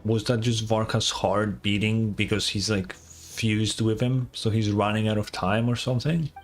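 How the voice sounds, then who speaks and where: A man livestreaming a game, giving casual, slangy, profane reactions in halting bursts. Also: lowish voice, fairly even intonation. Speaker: game streamer.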